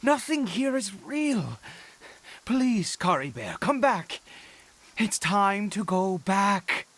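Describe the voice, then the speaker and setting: A man's voice: sits high, very animated, takes it slowly, fairly bright tone, full character voice. A man playing a desperate, unhinged middle-aged father in a game, stammering and pleading frantically as he searches for his son. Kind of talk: dramatic game voice acting.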